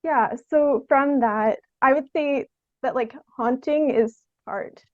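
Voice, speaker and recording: A woman's voice: sits high, slow, dry recording, narrow, tinny audio. A young woman answering interview questions in a hesitant, thoughtful, conversational way, often using "like" as filler. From Canada. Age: young adult. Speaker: podcast guest, theatre artist.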